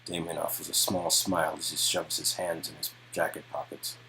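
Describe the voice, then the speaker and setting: Deep-voiced man narrating a story. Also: flat delivery, normal speed, sharp tone, faint music behind, rough recording. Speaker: narrator performing game dialogue. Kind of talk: scripted second-person story narration.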